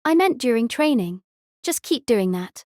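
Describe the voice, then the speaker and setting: A woman playing a quiet, small teenage senior student, expressionless and terse, in an audio drama. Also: on the high side, normal speed, no echo, studio quality. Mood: blunt. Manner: flat, terse, deadpan. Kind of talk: anime-style audio drama acting.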